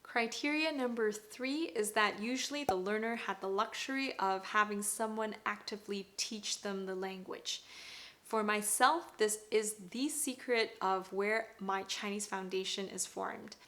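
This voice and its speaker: A woman in her thirties whose first language is Cantonese, giving a bright, upbeat, clearly spoken scripted talk to camera as a parenting vlogger. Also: medium pitch, unhurried.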